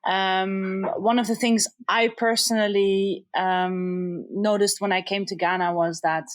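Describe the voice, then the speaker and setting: A woman's voice: medium pitch, flat delivery, unhurried, no room sound. A woman from the Netherlands, a culinary entrepreneur and interview guest, speaking earnestly with many hesitant fillers. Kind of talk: casual interview.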